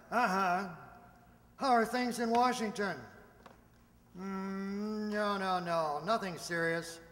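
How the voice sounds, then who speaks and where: An actor playing a busy security supervisor in a radio drama, genial but impatient, with clipped phrases. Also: male voice, high-pitched, a bit bright, long reverb tail.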